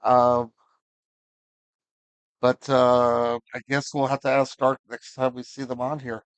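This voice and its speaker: Male online host, mid pitch, dry sound. Casual, hesitant, apologetic delivery. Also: little pitch movement, takes it slowly, clean tone, no echo, rough recording. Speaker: host of an online music-sharing session. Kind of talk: casual live chat.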